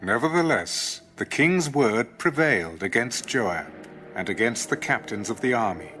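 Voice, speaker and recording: A male audio Bible narrator with a solemn, dramatic delivery. He takes his time and moves expressively across his mid-range pitch, with faint music underneath. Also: expressive, unhurried, sharp tone. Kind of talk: solemn scripted scripture narration.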